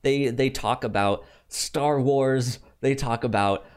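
A man co-hosting a podcast in casual film chat, halting and hesitant, with a stutter that repeats sounds and words. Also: mid-range voice, clean tone. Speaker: podcast co-host.

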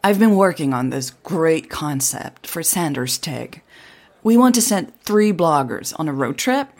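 A woman's voice: low voice, animated, unhurried, a little mellow, exaggerated voice. A woman voicing an eager, upbeat, businesslike hipster agency man in an audio drama. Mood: pitching, eager.

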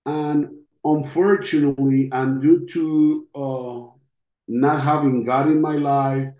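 Slow-speaking man with a Costa Rican accent. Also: on the high side, steady tone, mellow. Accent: Costa Rican Spanish. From Costa Rica. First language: Spanish. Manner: halting, reflective, with long pauses. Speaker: interview guest, podcast host. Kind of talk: personal testimony in an interview. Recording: roomy, echoing sound.

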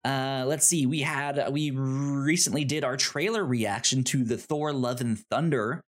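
A man's voice: mid-range voice, a little slow. A man hosting a podcast, chatting in an upbeat, informal way. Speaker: podcast host. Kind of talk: casual podcast chat and housekeeping plugs.

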